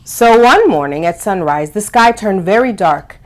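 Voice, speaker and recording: A woman's voice: medium pitch, lively intonation, moderate pace. A woman hosting a children's storytime, explaining and reading aloud expressively, stressing and stretching key words for young listeners. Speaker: host of a children's storytime show.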